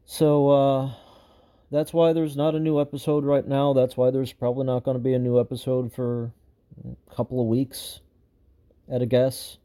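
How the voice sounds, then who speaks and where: Dark-voiced, frustrated, rambling man. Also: medium pitch, little pitch movement, normal speed. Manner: rambling and frustrated, lots of fillers and restarts. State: slightly hoarse. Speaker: show host giving an update. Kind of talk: unscripted personal update to viewers.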